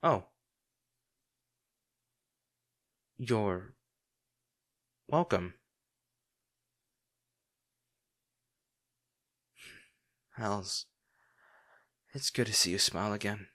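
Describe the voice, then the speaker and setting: A man playing a devoted, remorseful bodyguard to a noble lady in a fantasy audio drama, speaking in a formal, halting, earnest way. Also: lowish voice, a bit bright. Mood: earnest, softened.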